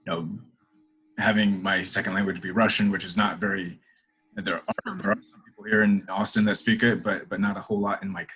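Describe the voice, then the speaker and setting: A man's voice: sits low, a bit bright, slightly rough, poor audio. A middle-aged man answering interview questions in a hesitant, casual way, full of fillers and restarts. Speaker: interview guest, corporate professional.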